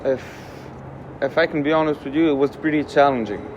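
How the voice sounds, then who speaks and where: A man's voice: on the high side, fairly quick, muffled recording. Man talking candidly over music. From Morocco. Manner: candid, easygoing, uses many fillers. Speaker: interviewee. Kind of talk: casual interview answers. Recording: music under voice.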